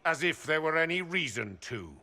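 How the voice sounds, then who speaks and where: An actor playing an arrogant, contemptuous templar commander in a fantasy game, speaking with haughty scorn. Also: male voice, a little high, sing-song, normal speed, creaky, pushed and bright, exaggerated voice. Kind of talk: fantasy game cutscene acting.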